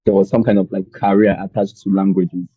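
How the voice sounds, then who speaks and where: A young man from Nigeria with a Nigerian accent, telling his story as a podcast guest in a relaxed, rambling way with many fillers. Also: sits low, brisk, husky, no echo, narrow, tinny audio. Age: young adult. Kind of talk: conversational storytelling.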